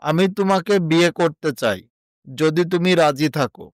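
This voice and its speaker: A man's voice: a little high, moderate pace, dry recording, clean studio sound, natural voice. A man playing a kind, practical village suitor in a moral story, speaking earnestly and encouragingly.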